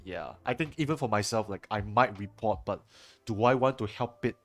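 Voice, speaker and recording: Young Singaporean man, low rambling voice. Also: moderate pace, fairly bright tone, soft background music. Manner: rambling, many fillers and restarts. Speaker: streamer. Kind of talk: casual chat answering viewer chat while editing. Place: home room, indoors. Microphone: headset.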